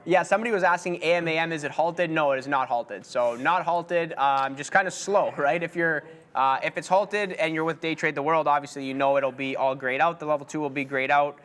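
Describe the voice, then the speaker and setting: A man's voice: fairly high pitch, brisk, few pauses, a bit bright. A man trading live on a show, talking through his trades in a laid-back, rambling way with lots of fillers.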